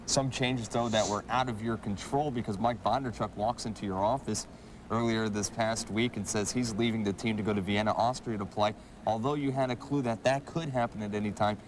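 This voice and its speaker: A man's voice: on the low side, fairly even intonation, a little fast, noisy background. A man presenting a hockey show in a polished announcer delivery, speaking to viewers and interviewing. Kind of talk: upbeat scripted TV presenting and interviewing.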